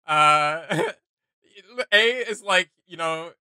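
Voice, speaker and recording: A man's voice: fairly high pitch, very slow, pushed and bright, clear, resonant tone, no room sound. A man giving casual, chatty reaction commentary as a host, often feeling his way through a phrase. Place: small home gaming room, indoors.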